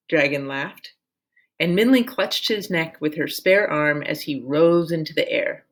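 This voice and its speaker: A woman storyteller reads a book aloud in an expressive narrating style. Her voice sits slightly low for a woman, and she takes her time, with a very slow, measured pace. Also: vocal fry.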